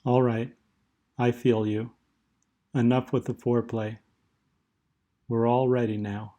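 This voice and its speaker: A man leading a guided meditation in a soothing, measured way, leaving long pauses as he speaks. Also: medium pitch, little pitch movement, dark tone, dull audio.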